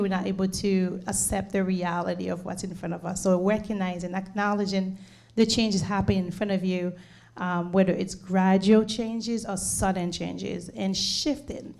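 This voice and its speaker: A woman's voice: medium pitch, darkish tone. A middle-aged woman from Haiti with a Haitian accent, a nurse giving an earnest community presentation with many "um"s and repeated words. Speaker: nurse and home care leader.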